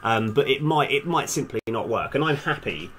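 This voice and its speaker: A man's voice: mid-range voice, animated, normal speed, a bit bright, a little hiss or hum. A man thinking aloud in a casual, hesitant, self-deprecating way while live streaming as a game developer.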